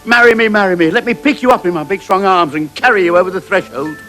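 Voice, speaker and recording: A man's voice: sits high, fast, fairly bright tone, faint music behind. A man playing a fretful, henpecked middle-aged father with doleful, theatrical pantomime delivery. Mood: mock-amorous. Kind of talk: pantomime stage acting.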